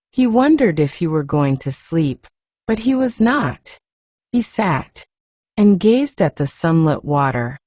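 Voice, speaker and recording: A woman narrating a classic novel as a soft, soothing bedtime story. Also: mid-range voice, no echo, studio quality. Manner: gentle, unhurried, soothing. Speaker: audiobook narrator.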